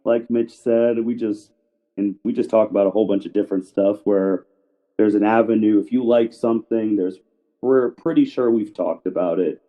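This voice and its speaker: Low-voiced male podcast host, phone-like sound. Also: moderate pace. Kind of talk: casual podcast chat. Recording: thin phone-like remote call audio.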